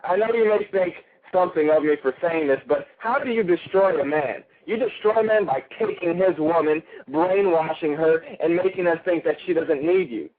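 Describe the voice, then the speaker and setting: A man's voice: fairly high pitch, moderate pace, hardly any pauses. A man with an Italian accent calling in to a radio show, ranting crudely and heatedly with frequent stumbles. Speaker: caller. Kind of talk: call-in radio rant. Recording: tinny phone line.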